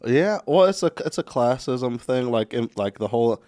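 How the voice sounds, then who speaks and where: A laid-back comedian with a Texan accent talks casually on a podcast, often stopping and restarting his sentences. His voice sits at an ordinary pitch for a man and sounds dry and close to the mic. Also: animated, moderate pace, darkish tone, no room sound. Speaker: comedian guest. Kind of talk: casual chat.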